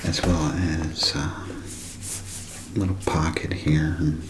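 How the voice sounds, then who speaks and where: A man doing a whispered ASMR show-and-tell, speaking in a hushed, unhurried way with gentle pauses. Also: rumbling low, level tone, noisy background. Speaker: ASMR creator.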